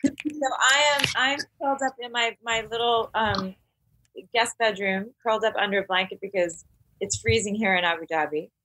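A woman's voice: mid-range voice, moderate pace, pushed and bright, a little hiss or hum, rough recording. A woman chatting playfully over a remote call from a guest bedroom. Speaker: panel member. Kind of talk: casual chat.

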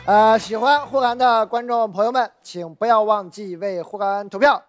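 A man hosting a comedy show, smoothly leading the audience vote and the chat. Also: high-pitched, moderate pace, soft background music. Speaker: show host.

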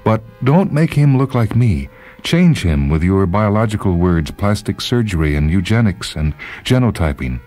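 A man's voice: fairly low pitch, big pitch swings, mellow, soft background music, pitched above the performer's own voice. Guy doing a lonely yearning woman for an audio story, voice lifted up, pleading and chanting her words. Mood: excited. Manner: pleading, incantatory, drawn-out phrases.

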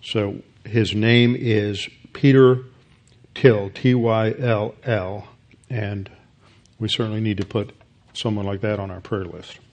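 A man in his sixties, a pastor leading an evening Bible study, who speaks slowly with pauses and fillers while reading a letter aloud. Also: on the low side, fairly even intonation, darkish tone, highs cut off. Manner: reads a letter aloud, slow and halting with fillers. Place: studio with plain backdrop, standing at a lectern.

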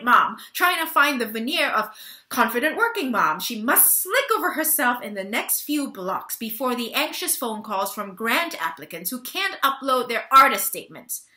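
A woman in her thirties narrates a stage play with theatrical emphasis. Her voice is fairly high and forceful. It is a homemade recording with audible room echo. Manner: dramatic, emphatic storytelling. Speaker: actor giving a staged reading. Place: small bare-walled room at home. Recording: roomy home webcam recording.

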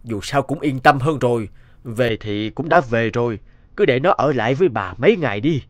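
A man playing a stern, hot-tempered middle-aged father in an animated drama, scolding and exasperated. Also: mid-range voice, normal speed, no room sound, studio quality, natural voice. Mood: resigned.

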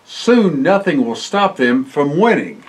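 An older man reading a children's picture book aloud in an expressive way, with exclamations and short asides. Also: fairly high pitch, slow pace, low-quality recording. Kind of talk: storybook read-aloud for children. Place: indoor library room, seated by bookshelves.

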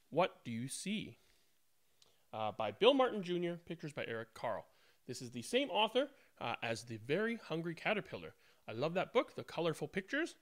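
A man in his thirties, host of a children's read-aloud, speaking in an expressive, sing-song way. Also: on the high side, no echo. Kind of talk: picture-book read-aloud with casual introduction. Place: indoors, small room at home.

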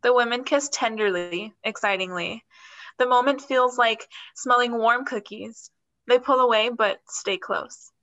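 Bright-voiced young woman reading stage directions. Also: fairly high pitch, measured pace, muffled recording. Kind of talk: scripted narration.

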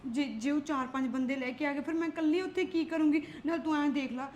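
A woman's voice: high voice, fairly quick, a little mellow, slight background noise, close to an everyday voice. A woman playing a frightened young teacher. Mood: tearful pleading. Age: young adult. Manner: pleading, tearful, protesting.

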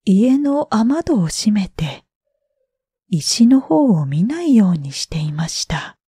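Woman reading sleepy bedtime tales, soft breathy mellow voice, super gentle, dry recording. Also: mid-range voice, very animated, no echo. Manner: gentle, drawn-out, breathy phrase endings. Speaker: storyteller, freelance announcer. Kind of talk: bedtime story narration for sleep.

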